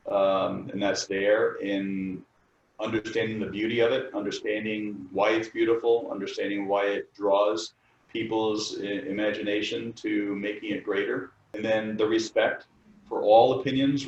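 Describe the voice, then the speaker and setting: A middle-aged man from the New York area, an architect answering interview questions in a halting way, full of fillers and restarts. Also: on the low side. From New York area, United States. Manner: hesitant, many fillers and restarts. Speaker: architect, firm co-founder. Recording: distant mic, dull roomy sound.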